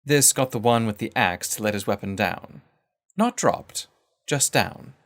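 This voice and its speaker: A man narrating a first-person adventure story in a measured, formal, literary manner. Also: fairly low pitch, lively intonation, fairly bright tone.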